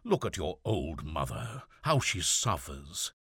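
A stern, heavy old priest in a literary audiobook, acted by a male reader. He speaks loudly and formally, with a husky harshness and pained insistence. The delivery swings widely in pitch. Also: sing-song, slow, dry recording, studio quality, heavily acted. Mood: earnest urging. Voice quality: loud, harsh.

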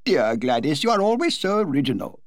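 A man voicing a flustered, easily shocked elderly duchess in a high, fluttery tone. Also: sing-song, fairly quick, no room sound.

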